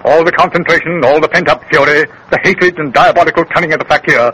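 An actor playing a grave, assured colonel in a 1930s radio drama, speaking in a formal, declamatory, lecturing way. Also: male voice, fairly high pitch, quick pace, sharp tone. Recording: noisy 1930s radio recording.

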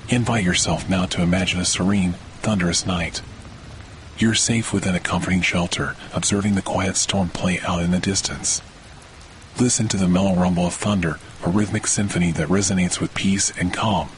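Male, deep voice, measured and soothing guided-meditation read, soft rain and thunder underneath. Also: steady tone, slight background noise. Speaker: podcast host, meditation guide. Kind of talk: scripted guided sleep meditation. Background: rain and distant thunder.

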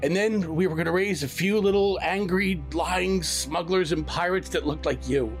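A man playing a gruff, devoted smuggler who talks rough and emotional to his wounded partner. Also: high voice, normal speed, soft background music, natural voice. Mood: pleading.

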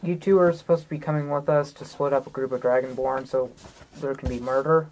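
A woman's voice: extremely low pitch, steady tone, a little fast, darkish tone, a little hiss or hum, low-quality recording. A woman chatting casually as a player in a tabletop game.

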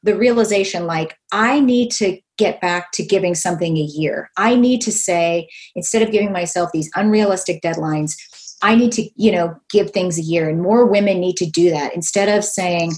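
A woman's voice: fairly low pitch, normal speed. A woman in her fifties hosting a podcast, talking with enthusiasm and acting out quotes in casual chat. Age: 50s. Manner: enthusiastic, animated, quotes herself and others. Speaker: podcast host.

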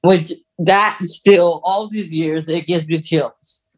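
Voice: mid-range voice, steady tone, slow, low-quality recording. A podcast co-host chatting casually and informally, recapping in a conversational way. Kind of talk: casual chat.

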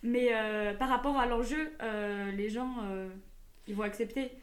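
Chatty young woman, slightly high voice. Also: a little slow. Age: young adult. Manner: chatty, rambling, giggly. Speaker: podcast co-host. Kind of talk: casual podcast chat.

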